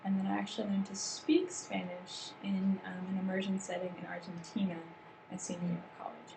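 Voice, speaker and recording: Woman, workshop trainer, gravelly, explanatory, lots of fillers, roomy sound, background noise. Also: medium pitch, fairly even intonation, a little fast. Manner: explanatory with frequent fillers and restarts. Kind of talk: teaching a staff training session.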